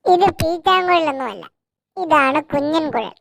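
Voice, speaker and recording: Eccentric cartoon inventor, male, boastful explainer with a bright, very high voice, acted by a storyteller. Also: animated, moderate pace, gravelly, exaggerated voice. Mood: proud. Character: eccentric male inventor proudly showing off gadgets.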